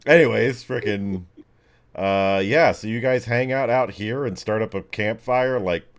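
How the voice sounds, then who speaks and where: A man with a deep voice acts as game master for a tabletop game. He improvises his narration in a casual, rambling manner, thinking out loud as he goes. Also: unhurried.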